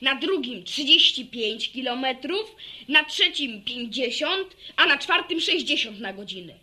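High-pitched, eager village boy. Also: animated, a little slow, sharp tone, heavily acted. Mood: eager, instructive. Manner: enthusiastic, lecturing in technical detail. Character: eager motorbike-mad village boy, chatty know-it-all. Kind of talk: Polish radio drama acting.

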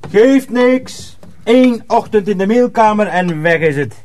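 A man's voice: high-pitched, lively intonation, unhurried, darkish tone. An actor playing a jovial, teasing miller's man in a radio drama.

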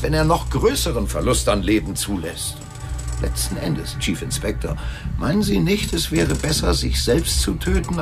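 An actor playing a coldly rational professor and doctor in a radio drama, arguing in a formal, deliberate, lecturing manner. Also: male voice, on the low side, creaky.

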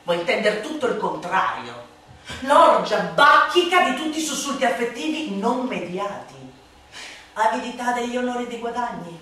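A woman playing a sardonic, scornful satirical orator in a theatrical radio monologue, declamatory and emphatic, moving between hushed asides and loud outbursts. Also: a little high, animated, moderate pace. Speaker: actress performing a literary monologue. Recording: reverberant room, distant voice, faint noise.

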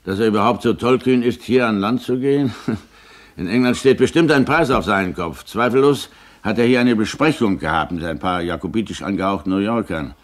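A man's voice: on the low side, a little fast, close to an everyday voice. An actor playing a shrewd, suspicious middle-aged father in a German radio play. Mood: suspicious, knowing.

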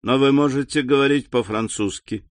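A man's voice: medium pitch, normal speed, dry recording, close to an everyday voice. A man reading as a phlegmatic English lord. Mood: aloof.

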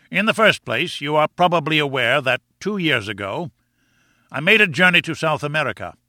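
Man acting a pompous, booming professor, bright forceful voice. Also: dry recording, studio quality. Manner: booming, lecturing, condescending. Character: pompous, booming, insolent male professor, arrogant and domineering. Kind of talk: audiobook character dialogue.

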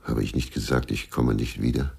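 An actor playing a brooding, weary male ex-policeman in a radio crime drama, gravelly, sombre and measured. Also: a bass voice, fairly even intonation, darkish tone, no room sound, full character voice. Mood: bitter, weary. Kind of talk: radio crime drama, introspective narration and dialogue.

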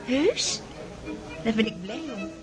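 A woman's voice: a little high, very animated, slow pace. An actress playing a shy, innocent, eager young countess, girlish and earnest, in a period radio drama. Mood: excited, delighted. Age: young adult. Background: music.

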